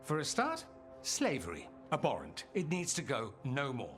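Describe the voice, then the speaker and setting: A man's voice: mid-range voice, huge pitch swings, moderate pace, faint music behind. A man playing a suave, witty noble mage and political radical in a video game, wry, eloquent and theatrical. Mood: dry, pointed. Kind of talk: video game drama acting.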